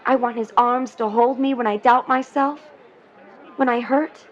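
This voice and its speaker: A woman's voice: fairly high pitch, fairly even intonation, a little slow, dull audio, a faint crowd, lightly acted. A woman playing an earnest, romantic young sweetheart in a 1950s audio drama, speaking in a polite, dreamy, poetic way. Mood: pleading, romantic. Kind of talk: vintage-set audio drama acting.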